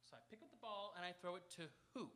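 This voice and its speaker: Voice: medium pitch, rapid-fire, a bit bright, natural voice. A performer playing an exasperated, confused baseball fan in a live radio comedy double act, with insistent questions that rise into indignant outbursts. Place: auditorium stage, live radio theatre production.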